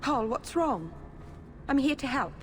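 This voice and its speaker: An actress playing an earnest young female elf mage, gentle but stubborn, in a fantasy video game. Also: high voice, sing-song, measured pace, a little hiss or hum. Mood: worried, urgent.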